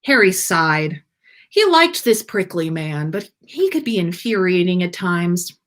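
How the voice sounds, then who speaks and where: A woman's voice: on the low side, very animated, unhurried, a bit bright. A woman hosting a story livestream, reading aloud and chatting in a playful, informal way. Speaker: podcast host and story reader. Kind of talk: storytelling read-aloud and casual livestream chat.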